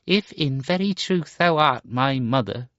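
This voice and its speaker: A man's voice: sits high, normal speed, no room sound, muffled recording, pitched above the performer's own voice, lightly acted. A man voicing a proud, cruel boy in a fairy tale who later turns remorseful, speaking in a raised, indignant voice. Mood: scornful. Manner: haughty, indignant.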